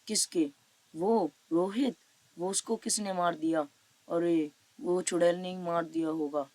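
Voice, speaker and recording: A woman's voice: fairly low pitch, fairly even intonation, measured pace, a little mellow, natural voice. A woman voicing an authoritative, paternal male village headman in a cartoon story, questioning, reassuring and giving orders. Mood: concerned.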